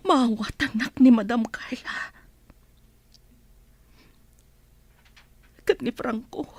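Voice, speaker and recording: A woman playing an anguished high-voiced Ilocano mother. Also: darkish tone, dry recording, exaggerated voice. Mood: pleading, grieving. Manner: tearful, drawn-out pleading. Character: anguished, pleading mother of a wayward grown son. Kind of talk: Ilocano radio drama acting. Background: faint background music.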